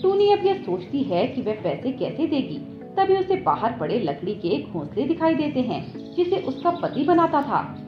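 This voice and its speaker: Female storyteller for children's tale: high, animated intonation, echoey room sound. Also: moderate pace. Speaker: narrator. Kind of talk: scripted children's story narration. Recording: echoey, dull audio with background music.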